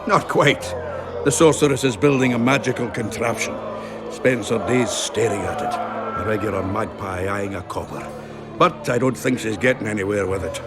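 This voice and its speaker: Man playing a low-voiced, grumbling dwarf merchant. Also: normal speed, natural voice. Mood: wry, chatty. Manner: earthy, wry, grumbling. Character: plain-spoken, grumbling male dwarf merchant and craftsman. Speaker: voice actor. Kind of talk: video game cutscene acting. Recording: loud music behind the voice.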